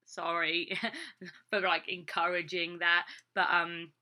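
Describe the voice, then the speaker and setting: Chatty Essex woman with a bright voice. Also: lowish voice, completely flat delivery, takes it slowly. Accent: English, Essex. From England, Essex. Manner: rambling, chatty, lots of "like" fillers, laughs between thoughts. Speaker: vlogger. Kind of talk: casual talking-to-camera chat.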